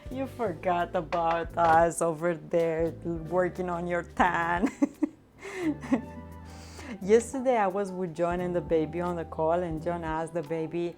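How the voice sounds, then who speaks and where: Slow-talking chatty woman, music behind. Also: on the low side, steady tone. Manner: chatty, laughs often, reads chat aloud. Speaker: vlogger cooking and chatting with live chat. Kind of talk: casual livestream chat. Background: soft background music.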